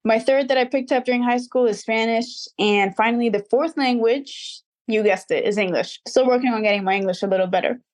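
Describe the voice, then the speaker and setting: A woman's voice: on the high side, brisk, few pauses. Young woman. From Dubai. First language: Arabic. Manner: earnest, reflective, slightly formal. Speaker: guest, recording artist. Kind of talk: interview answers.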